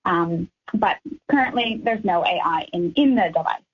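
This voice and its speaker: A woman researcher explaining her work as a radio interview guest, in halting phrases. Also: on the low side, husky, muffled recording.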